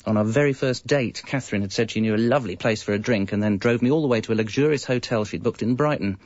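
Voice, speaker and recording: An actor playing a wry, evasive British husband and father in his thirties, confessing in a deadpan, conversational comic narration. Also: lowish voice, fast, dry recording, lightly acted. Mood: confiding, deadpan. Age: 30s. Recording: dull, lo-fi radio audio. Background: music.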